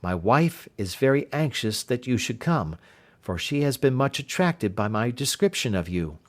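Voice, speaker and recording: A man voicing a brisk, earnest young woman governess in an audiobook reading, in his own everyday voice. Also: medium pitch, very animated, close to an everyday voice.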